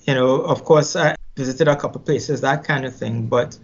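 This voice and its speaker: A middle-aged man with a Trinidadian accent talks through his memories in an unhurried, reflective way, often doubling back on words as he thinks. His voice sits at an ordinary middle pitch. The recording sounds a little dull and lo-fi. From Trinidad and Tobago. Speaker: musician guest. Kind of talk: casual interview storytelling.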